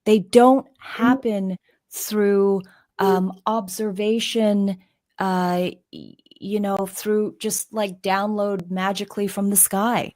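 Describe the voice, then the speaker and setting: A woman's voice: medium pitch, steady tone, slow, darkish tone, no echo, clean studio sound. A middle-aged woman from Canada, a former news anchor answering as a guest in a live interview, earnest and emphatic as she tells a story.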